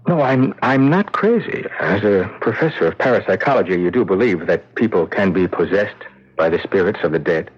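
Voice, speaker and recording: An actor playing a dying assistant professor with a murderous secret, speaking in a halting, weighty radio drama style. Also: male voice, sits low, lively intonation, husky. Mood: anguished, confessing. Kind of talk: 1970s radio drama acting. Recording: dull lo-fi old radio broadcast.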